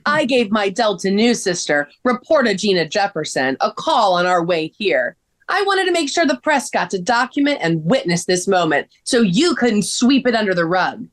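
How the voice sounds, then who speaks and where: A woman's voice: medium pitch, sing-song, normal speed, natural voice. A woman playing a plucky, upbeat amateur sleuth in a scripted table read, with an eager manner. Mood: righteous.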